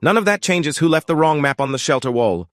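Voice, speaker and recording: A man's voice: a little high, animated, a little fast, dry recording, studio quality, voice pushed up, natural voice. A man voicing a combative male rival who speaks in an accusing tone.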